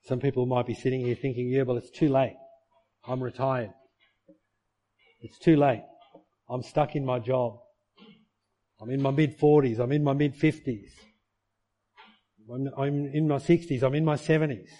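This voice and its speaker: A man's voice: mid-range voice, steady tone, moderate pace, long pauses, warm tone. A middle-aged male pastor preaching a sermon to his church, earnest and full of rhetorical questions.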